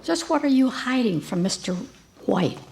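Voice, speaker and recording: A woman's voice: sits high, very animated, normal speed, gravelly, a little mellow, exaggerated voice. A woman playing a pushy, suspicious girl reporter in an old-time radio drama. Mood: accusing.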